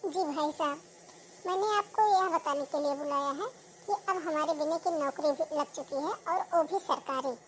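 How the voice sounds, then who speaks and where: A woman's voice: high-pitched, moderate pace, slight background noise, close to an everyday voice, rough recording. A woman voicing a greedy, demanding middle-aged mother-in-law in a cartoon. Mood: formal. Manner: demanding, grasping. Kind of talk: Hindi cartoon voice acting.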